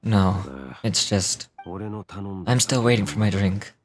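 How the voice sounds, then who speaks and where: A woman voicing a brooding, grave man from a fantasy game, with a low, grainy voice and terse, solemn delivery. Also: slow, heavily acted. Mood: grave, measured. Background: game music.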